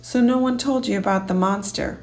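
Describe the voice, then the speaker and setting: Woman voicing a gruff, grave old man. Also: a little high, a little fast, faint music behind, lightly acted. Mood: measured. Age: older. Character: grouchy old man, building super, grave storyteller. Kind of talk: children's storybook character reading.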